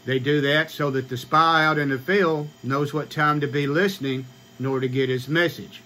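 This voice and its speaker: Higher-pitched older American man explaining. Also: steady tone, normal speed, sharp tone, slight background noise. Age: 60s. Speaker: ham radio vlogger. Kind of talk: informal explainer to camera. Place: small home radio room, indoors.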